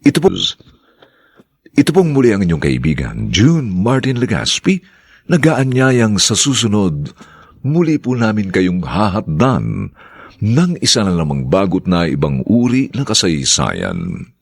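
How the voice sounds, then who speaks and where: A man's voice: fairly low pitch, big pitch swings, creaky, breathy edge, no room sound. A man, the show's radio announcer, formally reading the closing credits of a drama broadcast. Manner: measured, formal.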